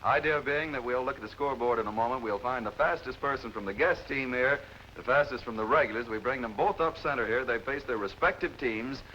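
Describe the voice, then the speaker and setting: A man hosting a live 1950s television quiz show, brisk and jovial as he explains the rules and reads out scores. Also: medium pitch, bright, forceful tone. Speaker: game show host. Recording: noisy lo-fi 1950s television audio.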